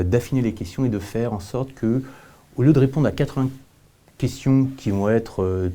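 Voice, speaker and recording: A man giving explanatory answers as an interview guest, with halting repetitions and illustrative examples. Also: lowish voice, mellow. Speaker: company representative, panel guest.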